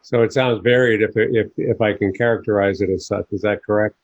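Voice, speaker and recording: This is an American man from New York with a fairly low voice. He hosts a conversational interview and asks his questions in measured, slightly formal phrases. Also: fairly even intonation, lo-fi sound. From New York, United States. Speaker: podcast host interviewing a guest.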